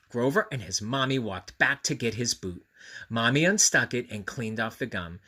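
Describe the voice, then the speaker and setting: A man reading a storybook aloud to children, expressive and earnest, often speaking directly to his young listeners. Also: lowish voice, slightly rough.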